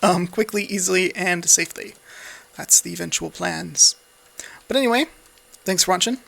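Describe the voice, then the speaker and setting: A man's voice: high voice, moderate pace, creaky, a bit bright, slight background noise. An American man giving casual, off-the-cuff gameplay commentary in an easygoing, rambling way. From United States. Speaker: Let's Play host.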